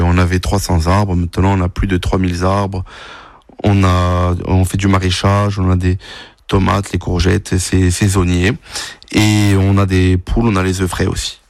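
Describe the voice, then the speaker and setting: A man's voice: deep voice, darkish tone, no room sound. A young man from Corsica, a farmer, answering radio interview questions in a hesitant way with many fillers. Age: young adult.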